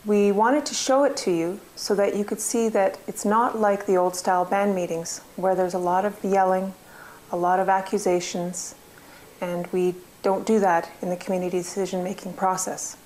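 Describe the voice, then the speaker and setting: A woman's voice: mid-range voice, steady tone, moderate pace, a little mellow, rough recording. A woman giving scripted informational narration in an explanatory way.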